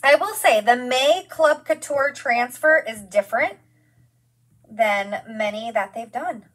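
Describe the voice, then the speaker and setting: A woman's voice: a little high, slow, a bit bright. A woman in her forties hosting a live craft demonstration from her studio, chatty and gushing, greeting viewers by name as she works.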